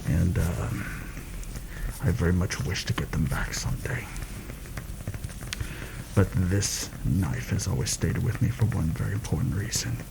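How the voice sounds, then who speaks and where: Man playing a low, gravelly soft-spoken rebel assassin. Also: exaggerated voice. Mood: quietly proud. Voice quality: gravelly, creaky. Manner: hushed, measured, conspiratorial. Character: seasoned, wry rebel assassin planning a palace heist. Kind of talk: soft-spoken ASMR roleplay. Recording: noticeable background noise.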